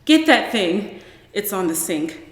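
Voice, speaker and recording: A woman's voice: mid-range voice, very animated, measured pace. A woman voicing an anxious, bossy young mother who urgently orders and pleads with her son in a storybook reading. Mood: urgent, commanding. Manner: urgent, insistent, commanding. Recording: echoey, reverberant room.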